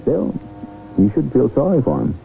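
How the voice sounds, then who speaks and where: A man's voice: mid-range voice, wildly swooping pitch, moderate pace, gravelly. A man hosting a 1970s radio mystery drama, narrating theatrically and wryly straight to the listener. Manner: wry, theatrical, addresses the listener. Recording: muffled 1970s radio broadcast, music behind. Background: music.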